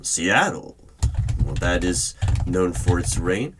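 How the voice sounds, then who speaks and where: A man with a very low voice plays a stern hiring manager at a secret organization. He speaks out loud in a formal, deliberate interviewer's tone for an ASMR roleplay, with computer keys clacking behind him. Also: animated, noisy background. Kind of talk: ASMR roleplay, spoken at normal volume. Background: computer keyboard typing.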